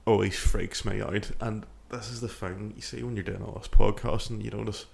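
A man talks in a loose, rambling way, musing aloud and trailing off mid-thought. His voice sits low with a gravelly edge. It is a casual podcast chat recorded in a studio. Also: fairly even intonation, normal speed. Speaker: podcast co-host.

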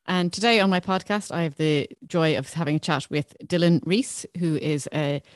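A woman's voice: deep voice, normal speed, no echo, clean studio sound. A woman hosting a podcast, introducing her guest and asking questions in a friendly, slightly hesitant way. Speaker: podcast host. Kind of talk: podcast interview.

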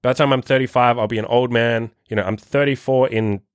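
Man in his thirties, podcast host giving a blunt, swearing pep talk, mid-pitched, dry close mic. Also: fairly even intonation, brisk, clean tone, no echo. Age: 30s. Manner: blunt, profane, emphatic. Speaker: dating coach podcast host. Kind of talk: solo motivational podcast monologue.

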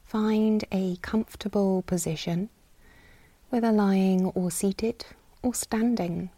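Slow, soft, mellow woman guiding meditation. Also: mid-range voice, fairly even intonation, no room sound. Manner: soft, breathy, soothing delivery with long pauses. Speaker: meditation guide. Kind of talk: guided body scan meditation.

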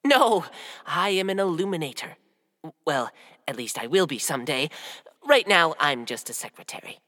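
Squeaky nervous little mouse boy, jumpy voice all over the place, lots of reverb. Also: very animated, moderate pace, a bit bright. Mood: eager. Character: timid young male mouse scribe.

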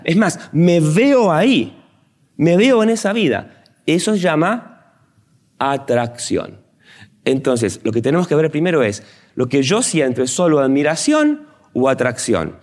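Animated priest with widely swinging pitch. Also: male voice. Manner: didactic, poses rhetorical questions and answers them himself; conversational, acts out imagined thoughts. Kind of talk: instructional talk on faith to an audience.

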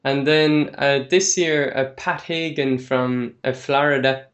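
A man's voice: medium pitch, steady tone, takes it slowly, fairly bright tone. A man hosting a podcast interview, asking questions in a friendly, chatty way. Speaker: podcast host.

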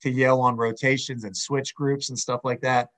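Man, podcast co-host, slightly hoarse, friendly conversational delivery. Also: medium pitch, little pitch movement, moderate pace, fairly bright tone. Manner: friendly, chatty. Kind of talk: casual podcast chat.

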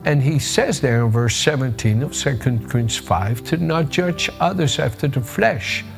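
An older preacher with a Dutch accent and a trace of American speaks at a middle pitch. He talks in a relaxed, conversational way, often stopping to restart a phrase while he searches for a word. Faint music plays underneath him. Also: moderate pace, creaky. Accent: Dutch with a bit of American. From Netherlands. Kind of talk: devotional sermon.

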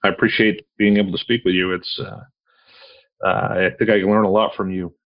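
A man's voice: low voice, flat delivery, brisk. Rambling man in his forties, muffled sound. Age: 40s. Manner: rambling, many restarts and fillers. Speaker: podcast guest. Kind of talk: casual conversation. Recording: dull lo-fi sound.

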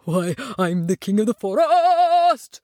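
A woman's voice: medium pitch, sing-song, slow, warm tone, no echo, clean studio sound. A woman voicing an anxious male lion who puffs himself up as king of the forest. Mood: proud.

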